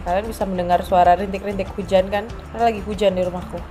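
A woman's voice: mid-range voice, steady tone, fast. A young woman with a blocked, nasal voice from a bad cold walks through her makeup routine in a loose, chatty way, tossing in casual jokes at her own expense. She talks close to the camera in a small room at home, with faint music running underneath. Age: young adult. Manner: chatty, casual, self-deprecating asides. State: stuffy nose from a heavy cold. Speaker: beauty vlogger. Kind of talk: makeup tutorial talk. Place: small indoor room at home, rain outside.

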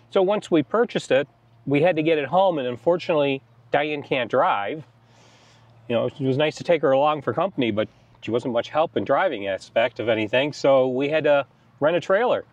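A man's voice: medium pitch, lively intonation, moderate pace, dry recording. A middle-aged man with an American accent talks in a casual, conversational way. He sounds like a travel vlog host recounting a trip outdoors, with a slightly dull recording. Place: outdoors in open air beside a car.

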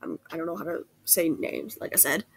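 A woman's voice: lowish voice, a little fast, gravelly, slightly rough. A woman who is sick with a cold gives a casual, rambling art critique over a screen recording, hesitating and restarting often. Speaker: art critique video host.

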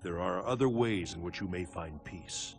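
An actor playing a wise, protective elder mentor in a video game, giving measured, solemn counsel. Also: male voice, low voice, soft background music. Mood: grave, counselling. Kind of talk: dramatic video game acting.

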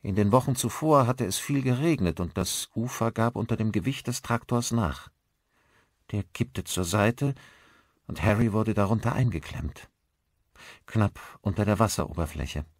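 A man's voice: on the low side, moderate pace, no echo, studio quality, pitched above the performer's own voice. A man voicing a chatty village woman who tells of local tragedies in an audiobook. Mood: matter-of-fact.

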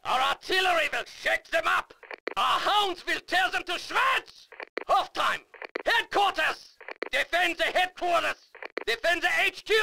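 An actor playing a fierce, fanatical German army officer who barks commanding battle announcements in a German accent for a game. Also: male voice, very high-pitched, gravelly, pushed and bright, a little hiss or hum, heavily acted, poor audio. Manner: barking, emphatic, commanding. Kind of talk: shouted military game announcements.

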